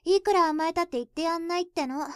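A woman playing a blunt, prickly high school girl in a romantic comedy audio drama, brusque and scolding. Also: fast, no room sound, clean studio sound.

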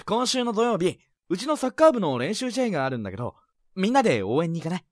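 A man playing a sly, teasing high school senior in a Japanese romantic-comedy voice drama, smooth-talking and confident. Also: sing-song, quick pace, no echo, studio quality. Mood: light, casual. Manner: smooth-talking, playful, insinuating.

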